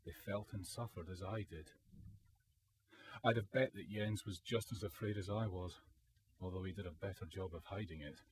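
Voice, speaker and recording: Man playing a low-voiced, sombre wartime soldier. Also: steady tone, normal speed, natural voice. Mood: reflective. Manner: sombre, reflective. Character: wounded, weary young Allied soldier recalling the war. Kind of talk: radio drama acting and reflective narration. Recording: faint hiss, student radio drama.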